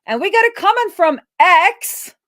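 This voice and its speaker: A woman's voice: extremely high pitch, very animated, measured pace, fairly bright tone, dry recording, studio quality. A woman hosting a casual coding livestream, thinking aloud informally as she works. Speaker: livestream host, web developer. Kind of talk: casual live coding commentary.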